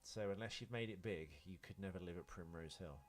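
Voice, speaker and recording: British male podcast host, low voice, loose and sweary, rambling storyteller who keeps interrupting himself. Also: brisk, faint music behind. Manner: sweary, informal, self-interrupting. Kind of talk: casual chat, rambling anecdotes.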